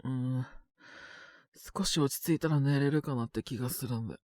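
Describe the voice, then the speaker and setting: A man's voice: mid-range voice, a little fast, darkish tone, no room sound, studio quality, natural voice. An actor playing a sick young man with a cough, grumbling politely to his senior in an intimate Japanese voice drama. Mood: weary, teasing. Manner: casual junior speech, mumbled reluctant replies, sighing. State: ill with a cold, frequent coughing fits. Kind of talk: Japanese boys' love situation voice drama, intimate one-sided dialogue.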